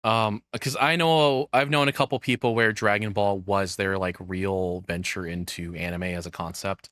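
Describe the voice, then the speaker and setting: A man's voice: on the low side, a bit bright, no room sound, clean studio sound. A man giving casual reaction commentary in a rambling, sweary, exasperated way. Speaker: streamer reacting to a video essay.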